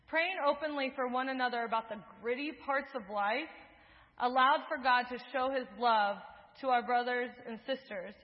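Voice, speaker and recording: Young woman giving a sermon, earnest and steady, echoey church, kinda muffled. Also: sits high. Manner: earnest, measured, with list-like cadences. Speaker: preacher. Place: church sanctuary. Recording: dull sound, echoing in a large hall.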